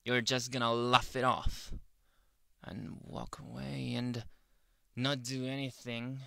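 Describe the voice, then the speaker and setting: Man acting a slow, grumpy yandere boyfriend, gravelly. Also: mid-range voice, fairly bright tone, dry recording, studio quality. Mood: pleading, indignant. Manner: brooding, theatrical, scolding, dropping often into breathy whispers. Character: grumpy possessive yandere boyfriend, young man, menacing yet smitten. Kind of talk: ASMR boyfriend roleplay. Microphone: close ASMR microphone.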